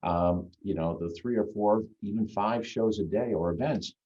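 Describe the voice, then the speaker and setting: A man with a deep, full radio voice, chatting as a guest on a video call in an easygoing, rambling way. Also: moderate pace, mellow. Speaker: guest magician. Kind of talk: casual chat on a video call. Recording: dull lo-fi video-call audio.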